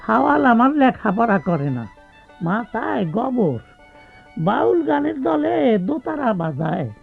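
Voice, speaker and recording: An ailing old village landlord with a rural Bangladeshi accent, his voice gravelly and swinging widely as he talks. He is hospitable and folksy, often repeating himself. It sounds like an old radio play on a tinny, narrow band with music behind. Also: extremely high pitch, very animated, unhurried, full character voice. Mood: rueful, confiding. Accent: rural Bangladeshi Bengali. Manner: hesitant, repeating words, folksy. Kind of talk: radio drama acting.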